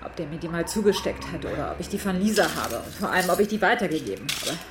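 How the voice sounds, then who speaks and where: Actress as fast-talking, indignant shop woman. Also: lowish voice, lively intonation, noisy background, lightly acted. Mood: aggrieved. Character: naive, indignant, mouthy shop woman, battered and angry. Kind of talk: naturalistic German radio crime comedy acting.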